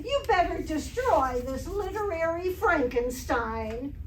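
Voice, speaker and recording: A woman playing a wry, sardonic high school teacher in old-time radio comedy style, with dry, deliberate wisecracks. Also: expressive, exaggerated voice. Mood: deadpan. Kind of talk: 1940s radio comedy acting, staged revival. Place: live stage performance by theater troupe. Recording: echoey hall from far off, faint noise.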